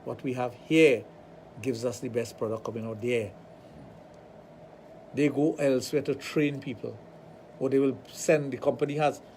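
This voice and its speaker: A man with a Trinidadian accent, hosting a radio talk show and telling animated stories with acted-out dialogue. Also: mid-range voice, a little hiss or hum. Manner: animated storytelling, acts out dialogue. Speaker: radio show host, sexologist.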